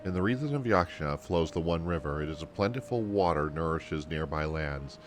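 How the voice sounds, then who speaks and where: A man's voice: a bass voice, expressive, brisk, faint music behind. A man voicing an earnest female storyteller from a fantasy game, reading her dialogue in formal, archaic, kindly phrasing. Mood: solemn storytelling. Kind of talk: game dialogue read aloud.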